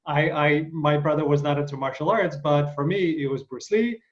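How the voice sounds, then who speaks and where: Eager male interview host, higher-pitched, chatty with fillers, muffled call audio. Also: normal speed. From Ukraine. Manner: enthusiastic, frequent fillers and restarts. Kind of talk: casual video interview.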